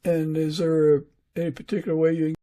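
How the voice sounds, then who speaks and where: A man hosting a podcast in a casual interview style, talking haltingly with pauses mid-sentence. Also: on the high side, monotone, mellow. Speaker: podcast host.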